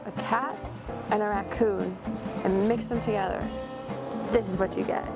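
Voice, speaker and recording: An excitable, gushing woman chatting casually as a television host. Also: medium pitch, normal speed. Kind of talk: casual on-location chat. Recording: loud music behind chat. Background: music.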